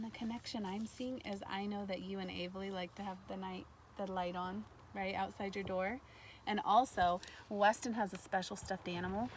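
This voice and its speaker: A woman's voice: medium pitch, slight background noise. A woman teacher leading a read-aloud lesson for young children, explaining things simply and prompting her listeners. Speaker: elementary school teacher.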